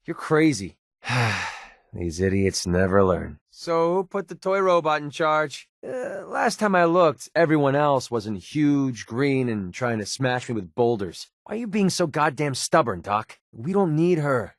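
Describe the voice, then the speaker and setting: Game companion: a guarded young American gun-for-hire, wry and conversational, medium pitch, dry studio sound. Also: male voice, expressive, a little slow, no room sound. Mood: irritated. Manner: sardonic, conversational, hesitant asides. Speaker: video game companion character. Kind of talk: video game voice acting.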